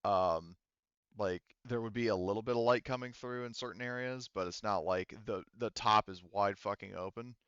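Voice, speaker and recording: Low-voiced man, clear and halting game master. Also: fairly bright tone, dry recording, muffled recording. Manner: halting, conversational explaining. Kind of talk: improvised tabletop game narration.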